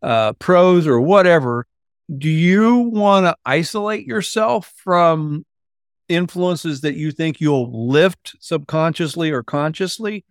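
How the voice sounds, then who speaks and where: A man hosts a casual interview in a voice a little higher than most men's. He thinks aloud, interrupting and reworking his own questions. The recording is dry and free of room sound. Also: expressive, takes it slowly, no echo. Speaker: interview host.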